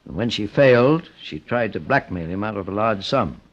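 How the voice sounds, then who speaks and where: An actor playing a composed private investigator in an old radio mystery, courteous, measured and probing. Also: male voice, on the low side, lively intonation. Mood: deductive. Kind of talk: old-time radio drama acting. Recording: dull, lo-fi old radio recording.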